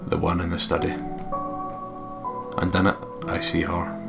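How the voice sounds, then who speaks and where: A man with a low voice works as a let's play narrator. He reads game narration in a measured, dramatic manner, with music clearly audible behind him. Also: completely flat delivery. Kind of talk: reading game narration aloud, with casual asides.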